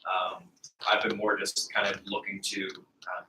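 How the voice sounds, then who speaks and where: A man's voice: low-pitched, flat delivery, unhurried, bright, forceful tone. A man, a project manager, introducing himself to a group hesitantly with many fillers. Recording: echoey room, distant, faint noise.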